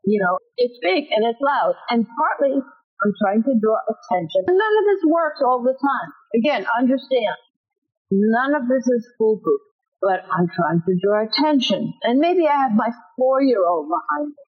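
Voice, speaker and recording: Husky, booming woman instructor. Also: very animated, moderate pace, dull audio. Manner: emphatic, acts out example lines. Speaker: self-defense instructor. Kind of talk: instructive interview talk.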